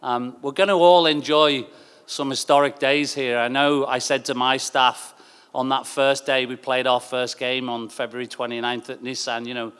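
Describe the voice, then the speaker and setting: A man giving a thank-you speech as a sports club executive, phrasing it in a halting way with repeated words. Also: mid-range voice, little pitch movement, fairly bright tone, clean tone. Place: large stadium venue. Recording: long echoing stadium PA reverb.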